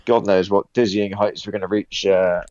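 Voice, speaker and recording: A man's voice: lowish voice, fairly even intonation, moderate pace, a little mellow, clean tone, no room sound. A man co-hosting a casual gaming podcast, giving wry, grumbling opinions. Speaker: podcast co-host. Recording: dull lo-fi mic, dry.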